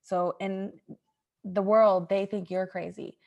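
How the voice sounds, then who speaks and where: A woman's voice: medium pitch, little pitch movement, slow, vocal fry, darkish tone, lo-fi sound. A woman hosting an improvised comedy podcast, talking in a casual, chatty style. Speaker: podcast host.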